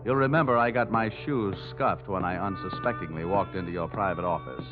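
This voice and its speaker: An American actor playing a wry, wisecracking insurance investigator in an old-time radio detective drama. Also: male voice, low-pitched, fairly quick, lightly acted. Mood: wry, self-assured. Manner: wry, breezy, sardonic. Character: cocky wisecracking male insurance investigator, rugged and unflappable. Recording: tinny vintage radio recording, faint music.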